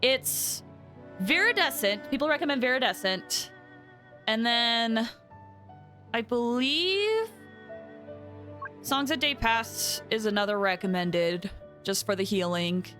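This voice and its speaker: A woman game streamer chatting casually and excitably, thinking aloud with frequent exclamations as she plays. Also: on the high side, slow, pauses often, soft background music. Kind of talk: casual livestream gaming chat.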